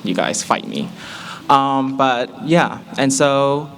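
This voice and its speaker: Young man, American accent, early twenties, playful, animated storyteller, mid-pitched voice, faint background noise. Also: slow, gravelly. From Malaysia. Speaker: student giving a testimony. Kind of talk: humorous personal testimony to an audience.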